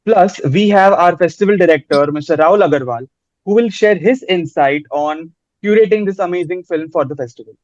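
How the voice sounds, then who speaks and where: A man's voice: sits high, fairly quick, darkish tone, no room sound, highs cut off. A man hosting a film panel, asking questions with many fillers and hesitations. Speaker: host. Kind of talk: panel interview.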